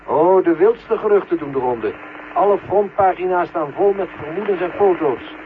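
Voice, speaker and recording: A man playing a calm, matter-of-fact mission controller heard over a radio link in a vintage science fiction radio play. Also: high voice, moderate pace, narrow, tinny audio. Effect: radio link filter. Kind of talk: 1970 Dutch science fiction radio drama acting. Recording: thin, noisy radio-transmission sound.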